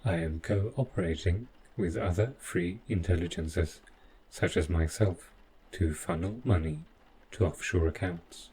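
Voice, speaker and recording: Man playing a slow, deep-voiced possessive robot butler. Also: animated, gravelly, a little mellow, a little hiss or hum, full character voice, poor audio. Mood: calm, possessive. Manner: formal, measured, clinical phrasing. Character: overprotective possessive male robot butler, calmly menacing, devoted. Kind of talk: scripted audio roleplay addressed to the listener.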